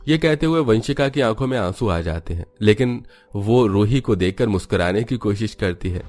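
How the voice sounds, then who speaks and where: A man narrates a scripted cartoon story in a low-pitched voice. He works as a storyteller, with music playing behind him. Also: lively intonation, normal speed, a little mellow.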